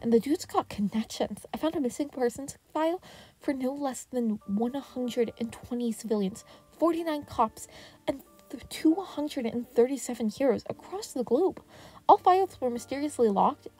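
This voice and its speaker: Woman as an earnest, mellow-voiced teenage boy. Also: sits high, lively intonation, moderate pace, husky, soft background music, pitched above the performer's own voice. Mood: explaining, determined. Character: earnest, guarded, clever teenage boy student.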